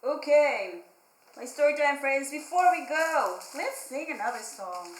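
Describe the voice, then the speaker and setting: Female children's storytime host, unhurried simple instructions with repetition; echoey room with faint noise. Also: high voice, expressive. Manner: simple explanations with repeated phrases, addressing children directly. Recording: roomy echo, faint background noise.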